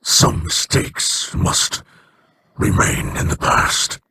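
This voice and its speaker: An actor playing a solemn, regretful male elder of an alien people in a video game, speaking in measured, formal sentences. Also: bright, forceful tone, no room sound, heavily acted. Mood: grave. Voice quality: low, measured, formal speech.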